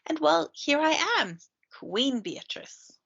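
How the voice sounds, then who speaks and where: A woman's voice: sits high, lively intonation, takes it slowly, creaky, a bit bright, pitched above the performer's own voice, heavily acted. A woman voicing a regal, polite queen bumblebee in a children's storybook. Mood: grand, pleading. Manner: regal, courteous. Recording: dull lo-fi screen-share recording.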